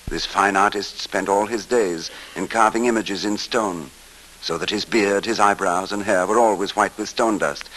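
A man narrating a scripted tale in classic radio style, theatrically moving between hushed and emphatic delivery, with music behind him. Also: mid-range voice, slight background noise, poor audio. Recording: old radio broadcast, music underneath.

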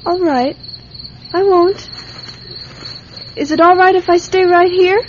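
Little girl in a radio play, high slow voice, curious n earnest, muffled recording. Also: steady tone. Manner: wide-eyed, earnest, childlike chatter. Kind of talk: radio drama acting. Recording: dull, noisy radio drama recording.

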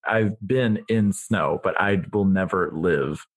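Man, very low voice, chatty guest storyteller, laughs easily. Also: slow pace, husky. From Atlanta, Georgia, US. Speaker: esthetician and educator, podcast regular. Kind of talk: casual podcast chat.

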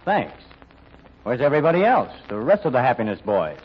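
A man's voice: medium pitch, huge pitch swings, fairly quick, slightly rough, highs cut off. A man playing a brash, skeptical newspaper reporter in old-time radio style, with a slangy, needling, wisecracking manner. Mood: breezy.

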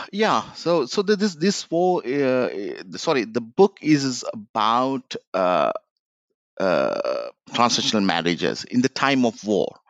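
A man, an author answering interview questions reflectively, with many pauses and restarts. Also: medium pitch, big pitch swings, no echo. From Sri Lanka. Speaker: author, guest. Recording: dull lo-fi dry sound.

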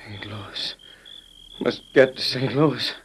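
Exhausted army major, slow and halting. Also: male voice, mid-range voice, fairly bright tone. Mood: delirious, urgent. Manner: halting, breathy, broken phrases. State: weak and exhausted. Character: exhausted, dazed army major guarding a secret mission. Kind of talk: 1950s radio western acting. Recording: noisy old radio show recording.

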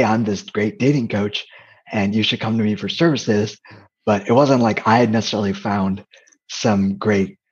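A soft-spoken man with a breathy, slightly low voice talks openly in an interview. He hesitates often, restarting his sentences and leaning on fillers. The audio is dull and muffled. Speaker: interview guest, comedian and dating coach. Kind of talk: candid conversational interview.

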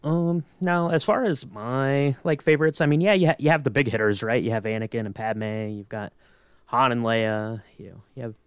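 A man's voice: mid-range voice, normal speed, clear, resonant tone, dry recording, studio quality. A man chatting casually on a fan podcast in an easygoing, somewhat hesitant way. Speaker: podcast panelist.

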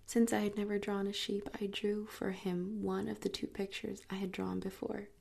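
A woman narrating a story in a soft, steady whisper, reading it like an audiobook. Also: medium pitch, moderate pace, gravelly, slightly rough.

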